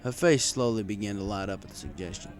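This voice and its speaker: A man's voice: on the low side, fast talker. A man reading a first-person story in a wry, conversational way. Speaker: story reader. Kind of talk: first-person story narration with acted dialogue.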